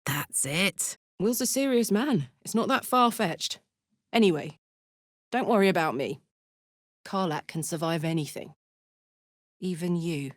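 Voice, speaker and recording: A woman's voice: sits low, no room sound, clean studio sound. An actress with a British accent playing a brash, big-hearted, battle-hardened warrior woman in a fantasy video game, blunt and earthy in manner. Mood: confident, then wry.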